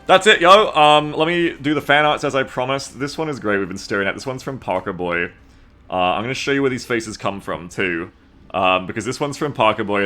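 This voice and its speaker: A man with a fairly deep voice talks off the cuff as a game streamer. He rambles in an excitable, sweary way, chatting casually to his viewers. Soft music plays behind him. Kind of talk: casual livestream chat to viewers.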